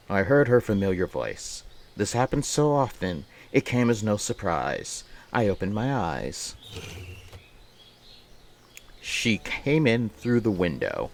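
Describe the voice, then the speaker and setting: A man's voice: lowish voice, very animated, a little slow, long pauses, slight background noise. A man livestreaming, casually chatting with viewers and reading aloud in a rambling, playful way that often repeats phrases. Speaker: live streamer.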